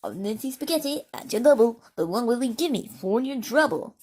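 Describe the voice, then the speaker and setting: A woman voicing a tiny, impish little man with a long beard who giggles and talks in quick rhymes. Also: very high voice, animated, a little mellow, breathy edge, voice pushed up, full character voice. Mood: sly.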